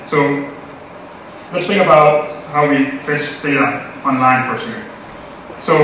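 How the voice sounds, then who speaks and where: A young man from Denmark giving a casual, playful tech conference talk with many fillers. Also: mid-range voice. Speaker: conference speaker. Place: large conference hall. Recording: echoey hall from far, dull lo-fi audio, noisy.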